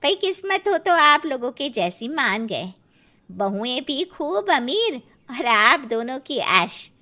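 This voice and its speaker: A woman voicing a nosy middle-aged neighbour auntie, loud, gushing and gossipy, in a comic story. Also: sits high, very animated, takes it slowly, heavily acted.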